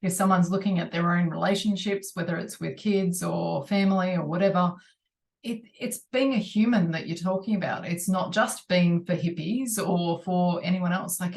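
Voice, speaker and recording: A woman co-hosting a podcast, chatting casually and reflectively, recorded at a distance from the microphone. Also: on the low side. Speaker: podcast co-host.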